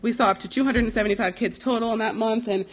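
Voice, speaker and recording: A young American woman speaking as a missionary, giving an informal slide presentation in a conversational, earnest and halting way. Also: a little high, fairly even intonation. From United States. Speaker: missionary giving a ministry report. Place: live talk before an audience. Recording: dull, slightly lo-fi recording.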